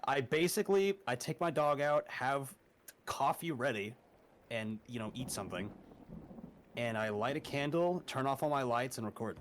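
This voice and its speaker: A man's voice: medium pitch, animated, moderate pace, a little hiss or hum. Young adult male guest, horror narrator, laid-back and profane, casual chat. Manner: laid-back, swears often, laughs a lot.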